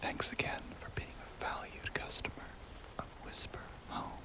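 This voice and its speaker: A man speaks in a breathy whisper throughout. He is an audio roleplay creator reading scripted closing credits directly to his listeners. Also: normal speed.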